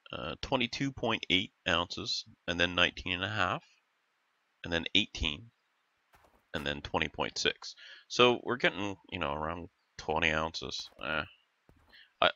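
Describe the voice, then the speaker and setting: Man, deep, bright edge, stuffed-up and growly, rambling casual game commentary. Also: no echo, lo-fi sound. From Canada. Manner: rambling, self-correcting, thinking aloud. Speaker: gaming channel host. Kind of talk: casual let's-play commentary.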